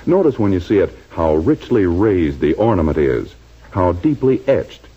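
A man's voice: low-pitched, very animated, normal speed, husky. An American man announcing from a script in 1940s radio style, on a muffled old broadcast. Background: loud music.

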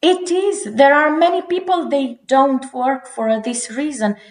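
A woman's voice: high-pitched, a little slow. A woman in her forties from Romania, giving earnest interview answers with frequent restarts. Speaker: guest. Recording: roomy echo.

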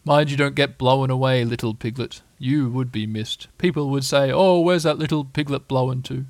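A man voicing a gloomy, self-pitying donkey from a storybook, speaking in a slow, resigned, mournful way. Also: mid-range voice, fairly even intonation, a little mellow, no echo, lightly acted.

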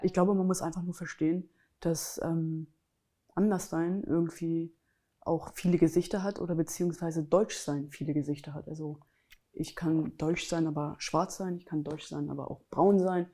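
A woman's voice: sits low, steady tone, normal speed, mellow. A German woman interviewing a guest in a direct, emphatic way, often affirming what is said. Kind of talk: conversational interview.

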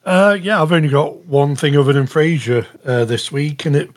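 A man chatting casually as a podcast panellist, halting and rambling, often saying "you know". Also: on the high side. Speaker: podcast panellist reviewing shows. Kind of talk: casual chat.

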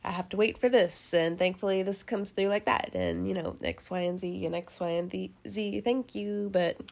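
A young woman with a stuffy head cold talks through a tarot reading in a loose, rambling way. She laughs a lot, and her voice carries a gravelly edge at mid pitch. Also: steady tone, moderate pace, darkish tone. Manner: chatty, laughs often, rambling asides, casual cursing. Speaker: tarot reader host. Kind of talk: casual tarot reading monologue.

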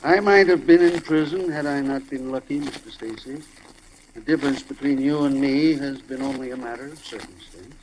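A man's voice: medium pitch, moderate pace, natural voice. A noisy vintage radio recording carries a male actor as a courteous, kindly boss of middle age. He speaks formally and fussily, like an absent-minded benefactor in a 1940s crime drama. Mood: gracious.

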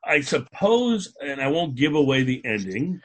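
A man chats as the host of a podcast. His voice sits in a middle register with a rough, husky edge. He keeps things loose, informal and jokey. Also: medium pitch, very animated, a little slow, fairly bright tone. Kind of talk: casual podcast chat.

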